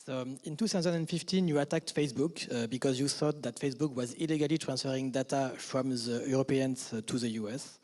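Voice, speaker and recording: French-accented male interviewer, halting. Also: mid-range voice, a little mellow. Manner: hesitant, halting phrasing with fillers. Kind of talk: interview questions.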